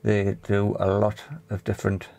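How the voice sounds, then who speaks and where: A man with a fairly low voice and noticeable fry in it. He talks like a casual repair video presenter, explaining things in a matter-of-fact way and pausing to find his words. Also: completely flat delivery, dark tone.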